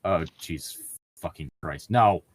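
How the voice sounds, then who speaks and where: A man's voice: sits low, slow pace. A man hosting casually, bantering and reading aloud in an exasperated, sweary way. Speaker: podcast host reading fan fiction aloud. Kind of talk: casual banter and mock-dramatic read-aloud.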